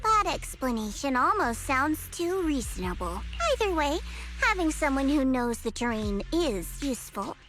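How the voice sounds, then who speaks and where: A woman's voice: high voice, big pitch swings, normal speed, fairly bright tone, faint music behind. A woman playing a confident, shrewd young female scout with a teasing, assertive manner in a video game. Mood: intrigued, businesslike.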